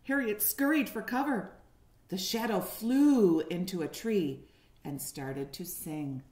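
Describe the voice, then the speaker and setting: A woman's voice: mid-range voice, slow pace, a little mellow. A woman around her forties, a kindergarten teacher reading a picture book aloud expressively and putting questions to young listeners, recorded at home on a webcam. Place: living room at home, indoors. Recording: roomy echo on a home webcam.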